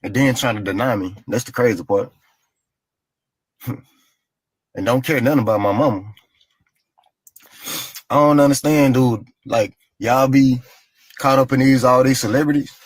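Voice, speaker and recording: A man's voice: mid-range voice, normal speed, pauses often, slightly rough, no room sound, poor audio. A man in a played clip telling a plain, aggrieved personal story and repeating his points.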